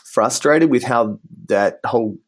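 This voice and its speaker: A man's voice: medium pitch, a little slow, slightly rough. A man in his thirties with an Australian accent, a guest telling his career story in an interview, thoughtful and full of ums and sort-ofs. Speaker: guest, business designer. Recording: muffled mic from beard rubbing.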